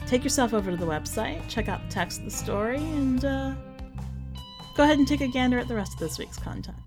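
A woman reading in a measured, expressive way, as a podcast host presenting and narrating short fiction. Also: high-pitched, over music. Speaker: podcast host and story reader.

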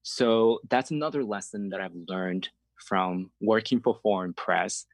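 Low-voiced man from China, earnest and explanatory. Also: unhurried, gravelly, no room sound. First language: Chinese. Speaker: journalist, interview guest. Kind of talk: reflective conversational interview answers.

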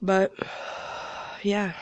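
A woman's voice: mid-range voice, completely flat delivery, extremely slow, a little mellow, slight background noise, rough recording. A young woman with a congested cold, rambling casually as a podcast host from a small closet, laughing and swearing freely. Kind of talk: casual solo chat.

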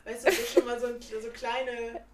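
A woman's voice: fairly high pitch, flat delivery, moderate pace. A young woman in her twenties co-hosting a casual chat podcast, playfully teasing her friend with jokey asides. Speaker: podcast co-host. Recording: echoey room, somewhat distant mic, faint noise.

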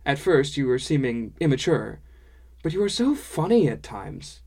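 A man's voice: mid-range voice, big pitch swings, normal speed, vocal fry, a little mellow, husky, pitched above the performer's own voice, heavily acted. A man voicing a kindly, frank, easily amused dwarf woman with quaint foreign-style phrasing in an audiobook. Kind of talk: audiobook character dialogue.

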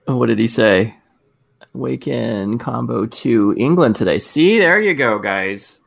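A man's voice: mid-range voice, expressive, unhurried, vocal fry, slight background noise. A man from Arizona, talking casually and laughing often as co-host of a group video stream. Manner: easygoing, laughs often, rambling.

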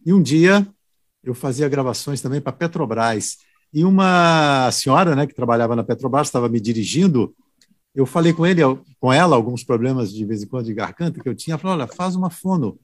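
A man who does voice-over work, telling stories from his career in a relaxed way during a chat with colleagues. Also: mid-range voice, fairly quick. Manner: relaxed, reminiscing anecdotal storytelling. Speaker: voice-over announcer. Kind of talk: casual chat among broadcasters.

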